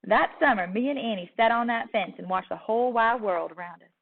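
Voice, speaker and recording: A woman with a Southern US accent giving an expressive storybook read-aloud as a teacher, telling it in a young girl's words with soft dips at phrase ends. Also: a little high, a little fast, gravelly. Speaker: teacher reading a picture book aloud. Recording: distant, tinny phone-like sound.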